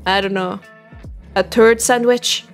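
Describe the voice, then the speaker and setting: A woman's voice: medium pitch, fairly even intonation, slow pace. A woman playing a brash, foul-mouthed fairy-tale princess, sardonic and blunt, in a comic dub. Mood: sardonic, gossipy. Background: soft music.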